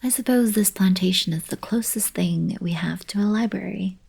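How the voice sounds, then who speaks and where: A woman playing a playful, teasing young alien princess girlfriend in an intimate ASMR roleplay, speaking softly and breathily. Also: medium pitch, normal speed. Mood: thoughtful explaining. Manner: soft, breathy, casual teasing delivery. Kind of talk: intimate ASMR roleplay, scripted monologue to the listener.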